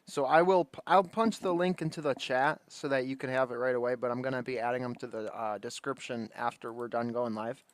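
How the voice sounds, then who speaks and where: A man's voice: mid-range voice, fairly even intonation, a little fast, hardly any pauses, a little hiss or hum. A man hosting a livestream, talking casually and off the cuff to his viewers. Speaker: livestream host.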